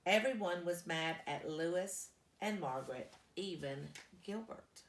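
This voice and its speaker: A woman reading a children's story aloud as a storyteller, with deliberate, emphatic phrasing. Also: lowish voice, lively intonation, slight background noise. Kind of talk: expressive children's storybook narration. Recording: echoey, roomy sound.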